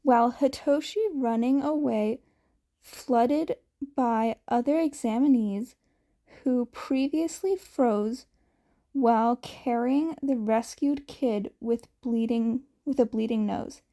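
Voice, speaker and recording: A woman's voice: fairly high pitch, slow pace, mellow. A woman narrating a scripted story as a podfic reader, occasionally stumbling over the script.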